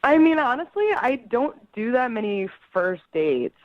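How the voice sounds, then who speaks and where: A woman's voice: a little high, big pitch swings, a little slow, dry recording. A young woman in a casual, candid phone conversation with a radio show. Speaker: person called by radio show. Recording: muffled dry phone line.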